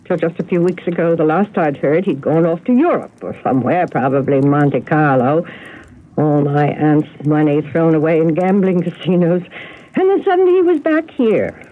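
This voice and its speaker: An actress playing a genteel, agitated older spinster telling family secrets in a radio mystery drama. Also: female voice, low-pitched, animated, moderate pace. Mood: anxious, bitter. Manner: fretful, genteel, dramatic storytelling. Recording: narrow, noisy old radio broadcast recording.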